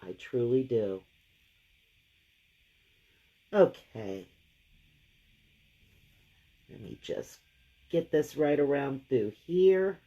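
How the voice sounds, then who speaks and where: A woman hosting a live craft painting session. She has a deep, gravelly voice left over from a cold, and she chats and thinks aloud while she works. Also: sing-song, slow, pauses often, mellow, lo-fi sound. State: lingering gravelly voice after a cold. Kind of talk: casual live painting demo.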